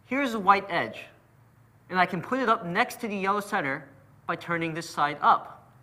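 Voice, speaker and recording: A man's voice: sits high, moderate pace, sharp tone. A man explaining a puzzle method as an instructor, patient and methodical in his narration. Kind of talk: step-by-step tutorial narration.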